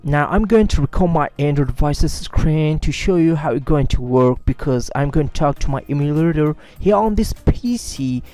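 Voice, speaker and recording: A man hosting a software tutorial on a USB microphone, explaining step by step in a quick, slightly halting way. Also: on the high side, animated, faint music behind. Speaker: tech tutorial host.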